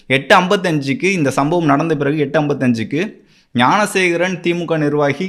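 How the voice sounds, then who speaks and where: A man's voice: fairly high pitch, fairly bright tone. A man with a Tamil Nadu accent giving political news commentary as an explainer, speaking emphatically.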